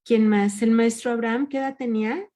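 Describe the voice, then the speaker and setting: A woman's voice: fairly high pitch, fairly even intonation, a little fast, dark tone. A woman leading an online workshop, patiently asking her group questions over a video call. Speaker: workshop leader.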